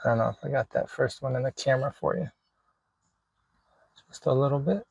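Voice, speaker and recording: A man's voice: medium pitch, a little fast, creaky, a little mellow, breathy edge, low-quality recording. A man narrating a hands-on DIY vlog in an informal way, thinking aloud as he works. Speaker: video creator showing a DIY radio install.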